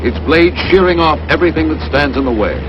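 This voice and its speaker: A man delivers 1940s newsreel narration in an emphatic announcer manner, his voice pitched fairly high and swinging widely. The sound is muffled and lo-fi, with a steady hiss from an old film soundtrack. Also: fast.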